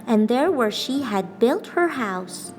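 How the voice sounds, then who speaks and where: A woman reading a children's picture book aloud as a teacher, with expressive, deliberate phrasing and pauses for emphasis. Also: fairly high pitch. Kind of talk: scripted storybook narration. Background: background music.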